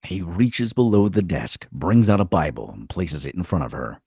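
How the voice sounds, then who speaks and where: A man's voice: low voice, sing-song, moderate pace, warm tone, husky, no room sound, studio quality. A man narrating stage directions from a script in a dramatic storytelling style.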